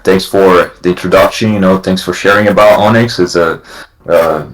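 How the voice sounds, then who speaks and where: A man from Brazil, a company CEO chatting as a guest on a livestream, halting and full of "you know". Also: low voice, fairly even intonation, echoey room, low-quality recording. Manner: halting, many restarts and "you know" fillers. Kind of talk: casual livestream chat.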